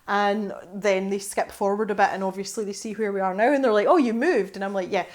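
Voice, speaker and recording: A woman's voice: mid-range voice, a little fast. A woman from the west coast of Scotland with a Scottish accent, chatting casually to camera as she answers viewer questions, laughing often and restarting her sentences. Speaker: art channel host.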